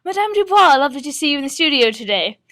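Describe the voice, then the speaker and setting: Woman playing polite high-voiced radio host. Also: big pitch swings, fast, fairly bright tone. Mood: announcing. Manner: formal, courteous, hesitant when taken aback. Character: polite, probing female radio news host, easily flustered. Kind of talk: amateur radio play.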